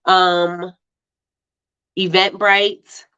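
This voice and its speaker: A woman's voice: fairly low pitch, level tone, drawn-out words, bright, forceful tone, clear, resonant tone. Stuffy, congested woman hosting a chatty livestream. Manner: chatty, laughs often, repeats herself. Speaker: livestream host. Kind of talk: casual live-stream chat reading viewer comments. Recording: slightly dull lo-fi livestream audio.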